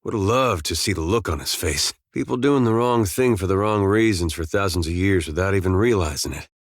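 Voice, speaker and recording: An actor playing an easygoing, loyal farmer turned soldier in a video game, with laid-back folksy phrasing and dry humour. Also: male voice, low-pitched, brisk, no room sound. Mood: wry, teasing. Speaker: video game companion character. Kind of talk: game dialogue and battle barks.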